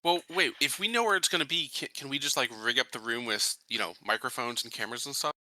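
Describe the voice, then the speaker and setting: A man with a very sharp, bright voice of medium pitch plans casually as a tabletop game player. The sound is close and dry. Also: fast talker, dry recording, low-quality recording. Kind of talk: casual planning discussion.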